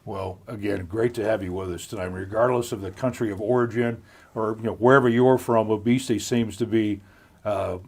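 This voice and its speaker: A man's voice: fairly low pitch, steady tone, brisk. A middle-aged man moderating a live online health chat in a folksy, chatty way. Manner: chatty, folksy, with frequent restarts and laughs. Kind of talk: live-streamed hosted interview.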